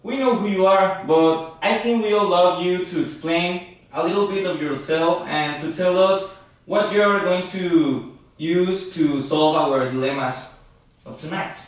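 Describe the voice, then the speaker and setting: A man's voice: sits high, a little slow. A man playing a philosopher who hosts a late-night talk show in an amateur skit. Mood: welcoming. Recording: echoey room, distant mic, faint noise.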